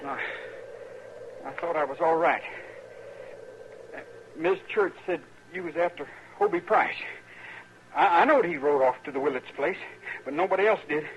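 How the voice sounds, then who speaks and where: An actor playing a guilty, pleading ranch hand with a rural Western accent and halting speech in an old-time radio western. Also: male voice, a little high, lo-fi sound, natural voice. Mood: desperate, pleading. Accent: rural Western American. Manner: halting, pleading, folksy grammar. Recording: noisy vintage radio recording.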